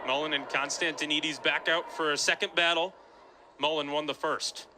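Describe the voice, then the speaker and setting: A man's voice: a little high, fairly even intonation, moderate pace, heavy background noise. A man working as a sports reporter and play-by-play announcer, delivering scripted reports and game commentary.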